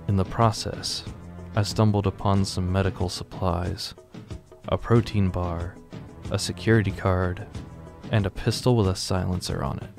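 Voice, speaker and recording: A man narrates a first-person audio drama in a very deep, flat, gravelly voice. He tells it in a measured, matter-of-fact way while guitar music plays clearly behind him. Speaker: narrator and writer.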